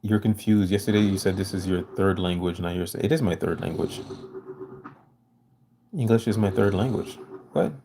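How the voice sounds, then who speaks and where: A man's voice: low-pitched, normal speed, pauses often, noisy background. A man whose first language is French, hosting a casual livestream and talking loosely to his chat, full of "you know" fillers. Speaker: livestream host.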